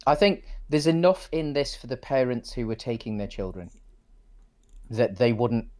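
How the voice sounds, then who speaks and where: A man's voice: mid-range voice, lively intonation, moderate pace, darkish tone. A man co-hosting a casual chat about films, agreeable and a little tentative, often putting in short interjections. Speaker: podcast co-host.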